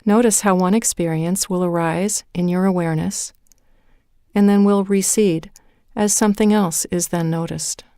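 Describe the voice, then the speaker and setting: A woman leading a guided meditation in a calm, measured way, with deliberate pauses between phrases. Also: fairly low pitch, smooth, dry recording, studio quality. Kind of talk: guided meditation, scripted narration.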